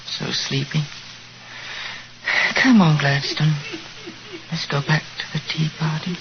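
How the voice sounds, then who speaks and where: A man's voice: a little high, takes it slowly. Dreamy, hesitant, childlike man. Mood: dreamy, fond. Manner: hesitant, dreamy, stammering wonder. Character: sleepy, childlike, gentle simple man. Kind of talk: 1940s radio acting. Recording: muffled, hissy old radio recording.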